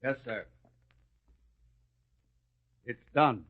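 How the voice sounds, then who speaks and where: An actor playing a gruff soldier in a radio drama, answering curtly. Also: male voice, medium pitch, drawn-out words. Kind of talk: vintage radio drama acting. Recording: tinny phone-like radio drama audio.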